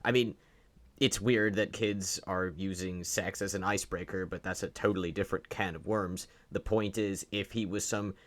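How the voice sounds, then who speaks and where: A man with a fairly low voice delivers opinionated online commentary. His manner is argumentative and sarcastic, and he leans on rhetorical questions to press his case. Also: fairly even intonation, normal speed.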